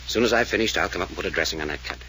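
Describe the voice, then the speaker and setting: A brusque investigator in a 1940s radio mystery. His low voice is hard and bright, moving fast with dry, unflappable command. A slight noise from the old transcription runs under it. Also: rough recording. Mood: brusque, skeptical. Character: brusque, unflappable male investigator taking charge.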